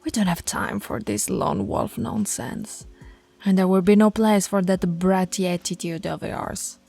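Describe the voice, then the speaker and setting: A woman voicing a dominant, stern alpha werewolf pack leader in an ASMR roleplay, scolding and taunting in a commanding, deliberate way. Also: lowish voice, animated, gravelly, a little mellow, soft background music, exaggerated voice. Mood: firm, admonishing.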